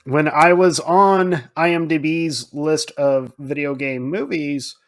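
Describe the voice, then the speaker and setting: A man's voice: a little high, takes it slowly. A man co-hosting a podcast, chatting casually about films in an informal, emphatic way that repeats points for stress. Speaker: podcast co-host. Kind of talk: casual film discussion.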